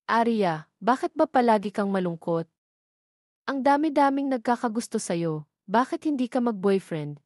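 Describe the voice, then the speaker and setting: A woman's voice: fairly high pitch, lively intonation, normal speed, darkish tone, no echo, studio quality, lightly acted. A woman voicing a concerned, coaxing friend in a romance audio drama. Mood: worried, coaxing.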